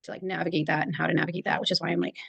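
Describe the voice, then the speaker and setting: A woman's voice: fairly low pitch, flat delivery, very fast, vocal fry, a bit bright, breathy edge, dry recording. A woman who is a kindergarten teacher chatting casually on a video call, rambling with many fillers. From native English speaker. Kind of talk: casual mentor meeting chat.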